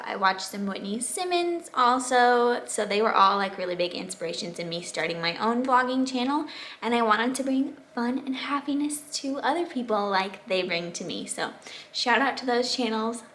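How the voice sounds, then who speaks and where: A woman in her twenties with an American accent, chatting casually to viewers as a vlogger in a bubbly, expressive, emphatic way. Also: fairly high pitch. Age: 20s. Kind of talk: casual question-and-answer chat to viewers.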